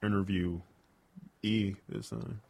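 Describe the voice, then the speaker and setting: A young man, a comedian and radio host, rambling through a casual interview with lots of fillers and restarts, with a booming voice. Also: flat delivery, slow, vocal fry, dark tone.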